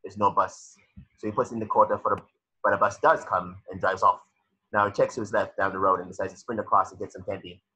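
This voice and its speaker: A man's voice: lowish voice, fairly even intonation, fast, bright, forceful tone, breathy edge, poor audio. A man narrating a cartoon script's stage directions in a matter-of-fact way, sometimes stumbling over the words. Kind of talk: reading a cartoon script aloud.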